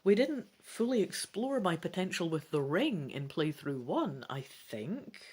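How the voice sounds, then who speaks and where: A woman reading a fantasy gamebook aloud as its player, in an expressive, theatrical storytelling style. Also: lowish voice, a little slow, husky. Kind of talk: dramatic storybook narration with casual asides.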